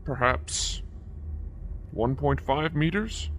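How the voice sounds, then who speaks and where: A man's voice: medium pitch, lively intonation, slow pace, a bit bright, a little hiss or hum. A man playing a dutiful, literal-minded alien zealot soldier in an amateur audio drama, speaking formally. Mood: dutiful certainty.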